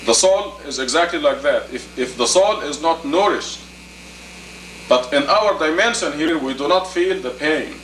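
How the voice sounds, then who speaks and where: A man in his fifties giving a sermon as an imam, deliberate and emphatic, in short phrases and rhetorical questions. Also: a little high, animated, fairly bright tone. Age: 50s. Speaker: imam, preacher. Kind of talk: sermon, teaching plainly to a congregation. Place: indoor meeting room. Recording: roomy, distant camcorder audio with faint noise.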